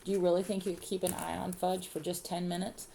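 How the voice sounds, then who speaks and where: A woman's voice: lowish voice, level tone, fast, creaky. Lady reader as a worried doting mom in a kids book, coaxing, noisy recording. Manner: coaxing, motherly. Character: fretful, doting young mother of a toddler.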